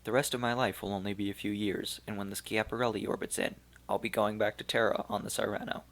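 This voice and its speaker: A man voicing an elderly, weary archaeologist of Turco-German origin, speaking slowly and regretfully in a gravelly voice. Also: lowish voice, little pitch movement, fairly bright tone. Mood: gently doubtful. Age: older.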